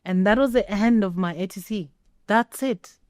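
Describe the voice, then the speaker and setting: Woman, middle-aged, warm dark tone, emphatic storyteller, interview guest, dry sound. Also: medium pitch, moderate pace, no room sound. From Kenya. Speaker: interview guest, former air traffic controller. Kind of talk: personal storytelling in a sit-down interview.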